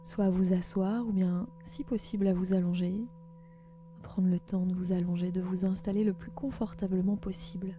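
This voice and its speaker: A woman host leads a guided relaxation meditation, speaking calmly and gently in slow, spaced instructions. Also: lowish voice, slight background noise. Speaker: podcast host and yoga nidra guide.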